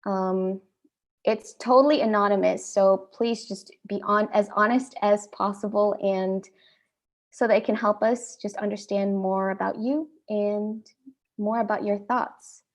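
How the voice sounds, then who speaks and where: A woman's voice: mid-range voice, steady tone. Indian-accented woman hosting a panel. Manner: friendly, measured, with frequent ums. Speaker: host. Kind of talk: hosting an online panel talk.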